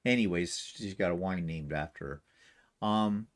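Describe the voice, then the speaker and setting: A man's voice: low-pitched, moderate pace. Chatty man reading out comments. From Canada. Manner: reads comments aloud, chuckling asides. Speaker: livestream co-host. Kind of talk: casual chat reading viewer comments.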